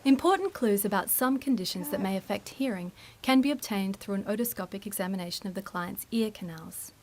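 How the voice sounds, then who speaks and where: A woman's voice: mid-range voice, animated, a little mellow. Woman narrating a formal instructional film. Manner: formal, measured explanation.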